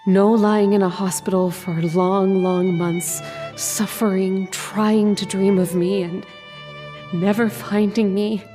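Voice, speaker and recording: Actress as a coaxing, possessive dream lover over violin. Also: female voice, medium pitch, flat delivery, slow pace, mellow, soft background music, close to an everyday voice. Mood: coaxing, ominous. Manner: tender, coaxing, insistent. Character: possessive, adoring dream lover, sweetly eerie young woman. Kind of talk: old-time radio horror drama acting.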